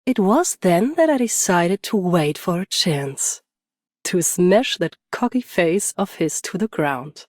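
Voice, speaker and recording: A woman's voice: on the low side, animated, slow, darkish tone, dry recording, studio quality. A woman playing a stoic, battle-hardened champion swordswoman and bodyguard in a video game, restrained and commanding. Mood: calm, dry. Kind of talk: scripted game character voice lines.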